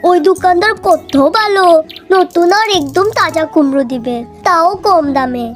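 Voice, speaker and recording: High, outspoken cartoon animal shopper voiced by a woman. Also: expressive, moderate pace, faint music behind. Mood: indignant. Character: choosy, outspoken female cartoon animal customer. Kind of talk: cartoon character voice acting.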